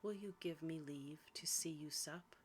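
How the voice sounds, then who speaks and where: A woman voicing a humble, gentle, melancholy male beast in a storybook reading, softly and with meek courtesy. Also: sits low, a little slow. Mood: tentative. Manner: meek, self-deprecating, courteous. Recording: faint background noise.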